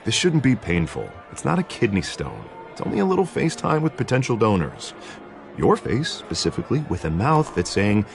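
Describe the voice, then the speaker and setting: Slick american politician in a video game, persuasive n theatrical, really lively pitch, bit of music behind. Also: male voice, moderate pace, gravelly. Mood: cajoling. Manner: glib, persuasive, theatrical speechifying. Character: smooth-talking, ambitious male politician on the campaign trail. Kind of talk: video game cutscene acting.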